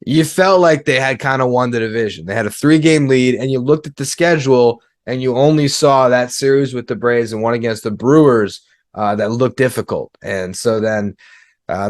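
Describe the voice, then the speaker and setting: A man's voice: medium pitch, no echo. Young man talking sports, close dry sound. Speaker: guest, sports journalist and podcaster. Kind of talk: casual sports chat.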